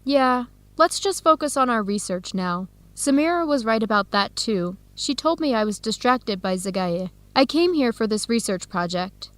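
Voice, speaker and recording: A woman's voice: fairly high pitch, expressive, a bit bright, no room sound. Regretful young woman researcher, dry sound. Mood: regretful, resolved. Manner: deliberate, short plain sentences. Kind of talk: English-learning radio drama acting.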